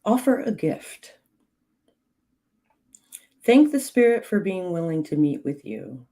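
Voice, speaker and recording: A woman hosting a livestream, reading witchcraft guidance aloud in a measured way, her voice slightly hoarse. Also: on the low side, very animated, mellow. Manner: slow, measured reading with clipped, dry asides to chat. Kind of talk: reading aloud with chat asides.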